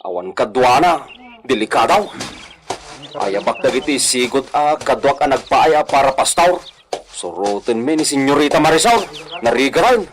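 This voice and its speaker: An actor playing a loyal, urgent ranch hand in a radio drama. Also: male voice, medium pitch, lively intonation, moderate pace, a little hiss or hum, natural voice. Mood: worried.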